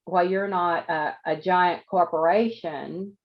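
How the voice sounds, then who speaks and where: A woman's voice: on the low side, steady tone, unhurried, lo-fi sound. A woman business coach hosting an interview over a video call, encouraging and repeating words as she thinks. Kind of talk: interview host, casual.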